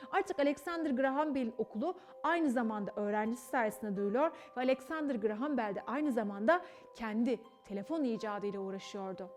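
High-voiced young woman telling children a story. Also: a little mellow. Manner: theatrical, emphatic, addresses children directly. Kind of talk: animated children's story narration. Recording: music behind the voice. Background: background music.

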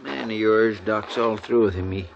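A creaky-voiced, folksy frontier deputy with a rural American Western drawl. He is excitable and good-hearted, in old radio western style. The sound is muffled with some background noise. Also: fairly low pitch, moderate pace. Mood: upset. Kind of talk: old-time radio western drama acting. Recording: muffled, noisy vintage radio recording.

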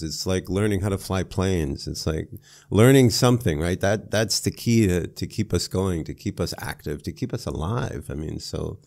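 Man, thirties, from Canada, very deep voice, casual talker, small studio. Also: creaky, darkish tone. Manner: chatty, lots of "like" and "I mean". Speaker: English teacher and guest. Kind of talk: casual conversation. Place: small studio with foam panels. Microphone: boom-arm podcast mic.

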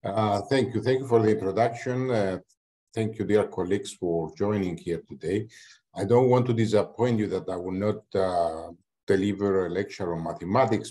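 An older man, a professor and guest speaker, opening his presentation on a video call in a measured, polite way with some fillers. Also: low-pitched, dark tone. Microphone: computer mic on a video call.

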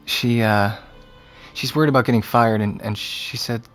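A man's voice: mid-range voice, steady tone, moderate pace, fairly bright tone, soft background music, lightly acted. An actor playing an awkward, curious seventeen-year-old American boy in a naturalistic audio drama, understated in manner. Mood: nervous, apologetic. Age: young adult. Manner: hesitant, understated. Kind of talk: naturalistic audio fiction acting.

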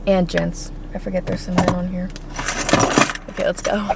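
A young woman vlogger chatting casually to a handheld camera in her bedroom and car, drawn-out, with frequent "like" and some whispered asides. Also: fairly low pitch, level tone, creaky, loud music behind the voice. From Texas, US. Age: young adult. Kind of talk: casual vlog chat to camera. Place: bedroom at home, then car. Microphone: camera at arm's length.

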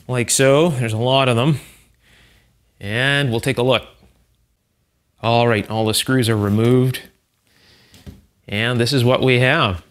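A man hosting a hands-on electronics teardown, explaining things in an unhurried, methodical, conversational way. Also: medium pitch. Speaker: host, electronics restorer and teacher. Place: indoor electronics workshop, at the bench.

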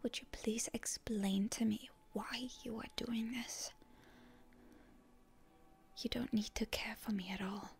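A woman playing a proud, prickly, wounded hero girl in a whispered ASMR roleplay, suspicious and defiant toward her enemy, with a hushed, breathy voice. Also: fairly high pitch, steady tone, takes it slowly, pauses often, heavily acted. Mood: wary, puzzled.

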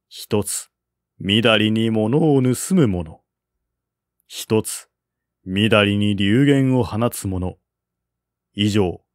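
Slow-paced male audiobook narrator. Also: fairly low pitch, no room sound, clean studio sound. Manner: formal, measured reading. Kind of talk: scripted literary narration of a historical novel.

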